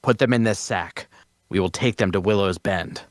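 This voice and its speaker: A man's voice: fairly low pitch, normal speed, dry recording, natural voice. A man playing the stern, cautious leader of a band of fantasy adventurers in an audio drama. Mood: commanding. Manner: commanding, questioning. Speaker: actor in an audio drama table read.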